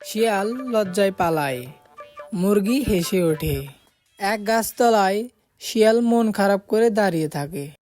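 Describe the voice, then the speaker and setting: A woman narrates a children's cartoon story from a script. Her voice is mid-pitched, with music running behind it. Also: lively intonation, a little slow, darkish tone.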